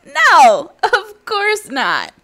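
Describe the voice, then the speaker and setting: A sensible parent frog in a picture book, read by a woman, blunt but patient. The voice is expressive and unhurried, firm and gently teasing, landing hard on key words. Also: very high-pitched, faint music behind, voice pushed up, full character voice. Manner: emphatic, enumerating, firm. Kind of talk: animated children's storybook read-aloud.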